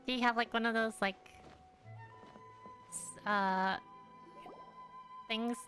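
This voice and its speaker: A woman's voice: a little high, steady tone, slow pace, a bit bright, faint music behind. A woman casually chatting as she streams a game, with short hesitant reactions. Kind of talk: casual live stream chat.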